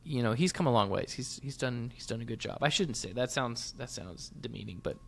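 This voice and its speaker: A man's voice: mid-range voice, expressive, brisk, gravelly, a bit bright. Man casually talking racing as co-host. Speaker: motorsports podcast co-host. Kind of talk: casual sports analysis chat.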